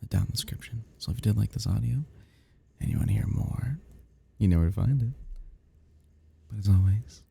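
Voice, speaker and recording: A man's voice: fairly low pitch, brisk, creaky, pauses often, mellow, husky. A man who makes audio roleplays, talking casually and informally to his followers.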